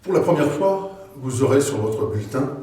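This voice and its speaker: A middle-aged or older man gives a formal, deliberate campaign address as a local politician, with hesitant pauses. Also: mid-range voice, lively intonation, warm tone. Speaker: local politician, incumbent mayor seeking re-election. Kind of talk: campaign address, semi-prepared speech. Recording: very echoey room, distant microphone.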